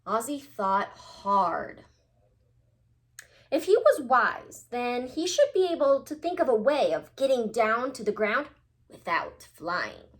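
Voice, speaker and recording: Primary teacher, female, slightly high and very animated, emphatic storybook read-aloud delivery aimed straight at kids. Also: takes it slowly. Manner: expressive, emphatic, addressing children directly. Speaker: teacher reading aloud to children.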